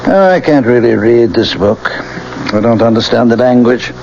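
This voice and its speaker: An actor playing an older scholarly father, high-minded and gently condescending, with hesitant professorial phrasing, in 1970s radio drama style. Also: moderate pace, vocal fry, lightly acted. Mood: fond, mild. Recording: muffled 1970s radio broadcast with hiss.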